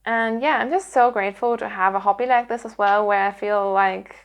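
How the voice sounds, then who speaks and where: A woman's voice: medium pitch, a little fast. A woman hosting her own podcast, chatting casually to her audience in a rambly, earnest way. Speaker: podcast host. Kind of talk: casual chatty vlog to viewers.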